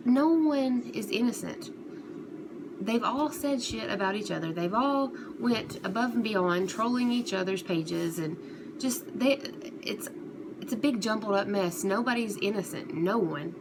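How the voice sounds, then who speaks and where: Female commentary vlogger, mid-pitched, unscripted and halting, casual delivery, recorded with clear background noise.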